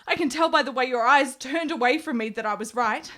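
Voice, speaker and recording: High-voiced, bitter, melancholy gentlewoman played by an actress. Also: fairly quick. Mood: bitter, defensive. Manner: formal, ornate, dramatic delivery. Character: ailing, bitter, melancholy young Italian gentlewoman, intense and morbid. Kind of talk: period radio drama acting.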